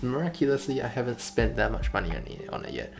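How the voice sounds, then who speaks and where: A man's voice: mid-range voice, animated, fast talker, creaky. A man chatting casually on a livestream while he works, rambling and thinking aloud as a game developer. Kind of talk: casual chat while working on stream. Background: music playing behind the voice.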